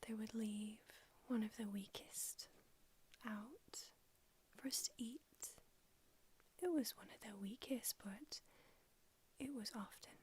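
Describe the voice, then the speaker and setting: A woman whispering in an ASMR roleplay as an ancient, aloof female vampire doctor, unhurried and drily haughty. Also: a little high, darkish tone, slight background noise. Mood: languid haughty. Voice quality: whispered throughout. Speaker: ASMR creator.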